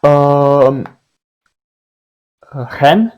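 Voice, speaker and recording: A man's voice: a little high, drawn-out words, clean tone, lo-fi sound. A man from Slovenia in a casual video chat, hesitantly guessing at words and asking for repeats. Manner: hesitant, guessing, laughs often. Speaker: language exchange participant.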